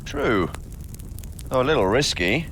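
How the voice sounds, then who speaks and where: A wary noble advisor speaks formally and sceptically. His voice is medium in pitch, set in a medieval game drama, with a faint rumble behind it. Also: big pitch swings, unhurried, vocal fry, a bit bright, exaggerated voice. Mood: considered. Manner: questioning, formal. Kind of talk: dramatic game cutscene dialogue.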